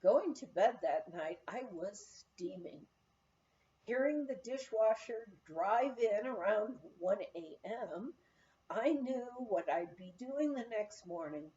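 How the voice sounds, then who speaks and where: A woman narrating a written memoir as an audiobook reader, with expressive storytelling. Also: on the high side, lo-fi sound.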